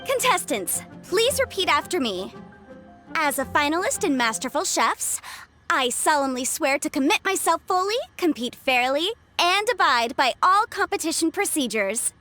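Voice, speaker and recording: Young game announcer, high voice, very animated, formal yet showy, polished, music faintly behind. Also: female voice, moderate pace, exaggerated voice. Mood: showy, upbeat. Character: bright, polished young female official hosting a contest. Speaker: host and officiator. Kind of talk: video game voice acting.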